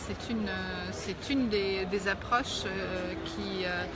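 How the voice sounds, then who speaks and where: A middle-aged woman with an Italian accent, a guest talking in a casual live street interview and often pausing with fillers. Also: medium pitch, steady tone, loud music behind the voice. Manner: hesitant, many pauses and fillers. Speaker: guest, founder of an education movement. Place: outdoors on a city street. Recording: street traffic noise behind.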